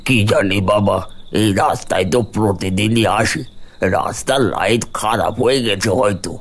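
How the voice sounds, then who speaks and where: Low-voiced, puzzled rickshaw driver played by a man. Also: a little slow. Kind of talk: horror cartoon acting.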